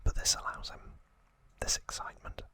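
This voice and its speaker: A man hosting ASMR, giving a hushed, slow recap in short phrases in a breathy, unvoiced whisper. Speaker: ASMR host.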